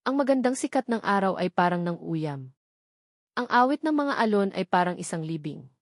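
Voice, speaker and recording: A woman with a Filipino accent narrating a scripted story in a dramatic, deliberate way. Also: mid-range voice, expressive, dry recording, studio quality. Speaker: audiobook narrator.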